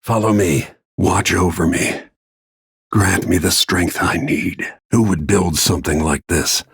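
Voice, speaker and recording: A man playing a grim, world-weary middle-aged assassin in a video game, with a gravelly, husky voice and a terse, brooding manner. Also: low-pitched, measured pace, no echo, clean studio sound, exaggerated voice. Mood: grim, determined. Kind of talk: scripted video game voice lines.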